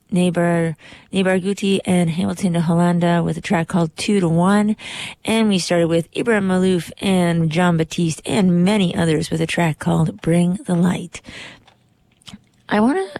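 Woman radio host, hoarse, gravelly low voice, mellow tone, dry studio sound, chatty and rambling. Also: no echo. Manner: chatty and upbeat, rambling with self-corrections. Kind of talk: casual radio show chat. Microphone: studio microphone.

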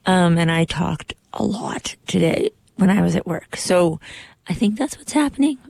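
A hoarse woman hosting a radio show from the studio, chatting in an upbeat, rambling way and correcting herself as she goes. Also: lowish voice, lively intonation, moderate pace, darkish tone, no echo. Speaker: radio host. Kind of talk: casual radio show chat. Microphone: studio microphone.

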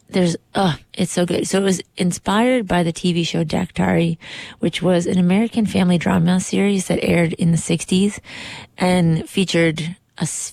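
A hoarse woman hosting a radio show from the studio, chatting in an upbeat, rambling way and correcting herself as she goes. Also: lowish voice, darkish tone, dry recording. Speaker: radio host. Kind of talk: casual radio show chat. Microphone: studio microphone.

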